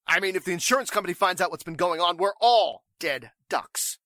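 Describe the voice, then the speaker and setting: An actor playing an agitated, scheming crook with folksy slangy talk in a 1940s-style radio drama. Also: male voice, high voice, expressive, a little fast, very noisy. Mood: alarmed, urgent. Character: scheming crooked man reporting trouble to an accomplice.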